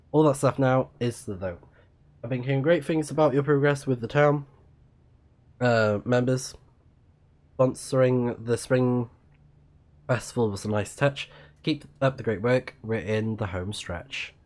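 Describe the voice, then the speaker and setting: A woman's voice: very deep voice, darkish tone. A woman game streamer giving casual live commentary and reading on-screen text aloud.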